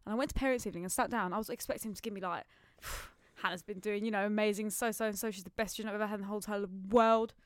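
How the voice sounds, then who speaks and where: A woman's voice: medium pitch, fairly even intonation, rapid-fire, few pauses, smooth, no room sound. A young adult British woman chatting casually as a podcast co-host, telling anecdotes with slangy, sweary, acted-out quotes.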